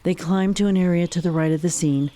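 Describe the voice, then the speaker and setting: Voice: mid-range voice, fairly even intonation, a little fast, dark tone. A narrator with an American accent reading scripted narration for a radio drama.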